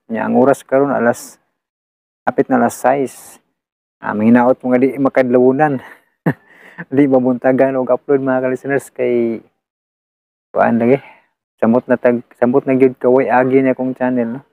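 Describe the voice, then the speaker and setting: A man's voice: medium pitch, level tone, moderate pace, creaky, long pauses, no room sound. A man with a Cebuano Filipino accent, chatting casually and rambling to his listeners as a channel host. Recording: muffled phone-like close recording.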